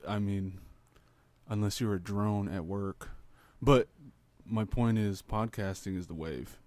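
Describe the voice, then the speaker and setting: A young man co-hosting a casual podcast, talking bluntly with lots of swearing and animated opinions. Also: fairly low pitch, slow pace, no echo. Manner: blunt, profane, animated fight talk. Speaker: podcast co-host. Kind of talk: casual chat.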